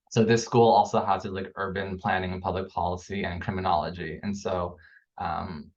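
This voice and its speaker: Low hoarse young man on a video call. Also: muffled recording. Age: young adult. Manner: rambling with many fillers. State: hoarse, losing his voice. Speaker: clinical psychology graduate student. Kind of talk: panel answer over video call.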